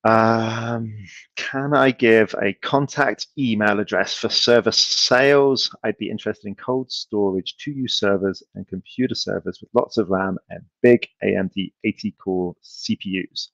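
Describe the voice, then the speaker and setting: A man's voice: fairly low pitch, measured pace, vocal fry, no echo, dull audio. Hesitant man answering questions on a video call. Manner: relaxed, hesitant, many ums and restarts, laughs easily. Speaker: company speaker answering audience questions. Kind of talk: casual conference Q&A. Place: home room, video call.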